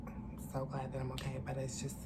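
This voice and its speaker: A woman's voice: extremely low pitch, monotone, brisk. A young adult woman telling a story casually to camera in a candid, slangy, profane way, recorded indoors at arm's length. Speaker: vlogger. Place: indoors, small room. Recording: clearly noisy room ambience.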